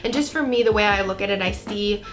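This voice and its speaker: A woman's voice: mid-range voice, fast, faint music behind. A twenty-year-old American woman from Florida with a cold, chatting casually and informally to camera as a book vlogger. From Florida, United States. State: has a cold.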